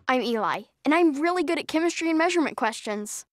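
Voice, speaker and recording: A woman voicing an eager, excitable young schoolboy classmate in a cartoon quiz game. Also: high-pitched, normal speed. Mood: eager, proud. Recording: noisy crowd behind the voice.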